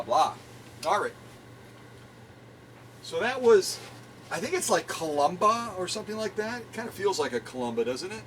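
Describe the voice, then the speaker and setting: A man's voice: fairly high pitch, fairly bright tone, slight background noise. A middle-aged man hosting a casual vlog from his home workshop, chatting with viewers in a rambling way, often repeating and restarting phrases. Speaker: vintage sewing machine restorer and channel host.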